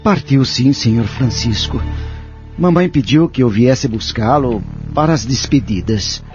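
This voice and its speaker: A male actor plays a courteous, grieving son in an audio drama. His voice is mid-range, gentle and subdued. The sound is slightly dull, with music playing underneath. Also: mid-range voice, lively intonation, measured pace, natural voice. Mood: subdued, sad. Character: courteous, grieving son bearing sad news.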